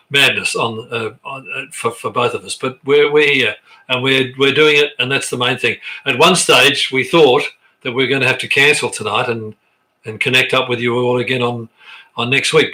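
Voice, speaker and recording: Bright-voiced Australian choir director. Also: male voice, mid-range voice, poor audio. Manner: chatty, rambling, with frequent restarts and fillers. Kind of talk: live online rehearsal, reading chat comments aloud. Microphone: sensitive computer microphone.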